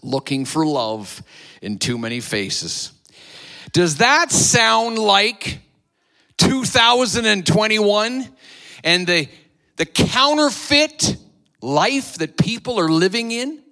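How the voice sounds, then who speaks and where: A man preaching from the pulpit, taking his time over every phrase. His voice is bright and a little high, and he lets it swell loud and then fall away again. He keeps repeating lines to drive the point home. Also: sing-song, slow, creaky. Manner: sings hymn lines softly, repeats phrases. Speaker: pastor. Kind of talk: sermon.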